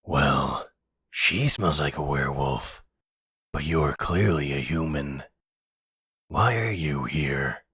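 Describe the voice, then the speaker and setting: A gruff werewolf pack leader acted by a man with an extremely low, rough husky voice. He speaks slowly and formally, guarded and protective yet courteous, in an intimate audio roleplay. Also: expressive, a bit bright, no echo, full character voice. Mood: guarded, abrasive.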